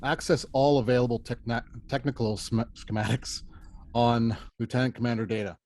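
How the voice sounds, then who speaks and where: Man playing a stern starship officer prosecuting, mid-pitched. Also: steady tone, moderate pace, a little mellow, lightly acted. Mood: commanding. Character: male first officer, stern reluctant prosecutor. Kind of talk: amateur table read of a sci-fi courtroom script.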